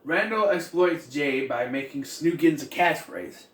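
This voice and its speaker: A man giving a casual, rambling, improvised recap as a reviewer of an animated series. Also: on the high side, unhurried. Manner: loose, improvised, acting out quoted bits. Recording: faint background noise.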